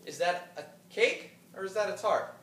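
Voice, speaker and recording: A man's voice: high voice, normal speed, fairly bright tone, a little hiss or hum. A man playing an affable peacemaking husband. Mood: chatty. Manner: affable, placating. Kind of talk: stage play acting. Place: theater stage. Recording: roomy stage sound.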